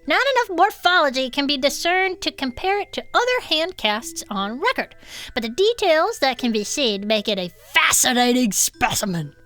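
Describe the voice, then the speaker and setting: A woman co-hosting a comedy podcast, playful and expressive as she reads aloud and chats. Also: sits high, moderate pace. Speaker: podcast co-host. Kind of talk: comic reading aloud of a report with chatty asides.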